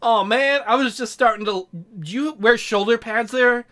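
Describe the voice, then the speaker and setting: A woman's voice: fairly high pitch, moderate pace, fairly bright tone. A woman doing casual, goofy game commentary as a let's play host, joking crudely and rambling.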